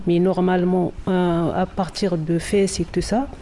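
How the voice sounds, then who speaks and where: A woman raised between the Netherlands and Morocco talks informally in an interview, her low voice warm and mellow. She often stops to hunt for words, with a faint hum of the surroundings behind her. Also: little pitch movement, unhurried. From France, Morocco and the Netherlands. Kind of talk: casual interview talk.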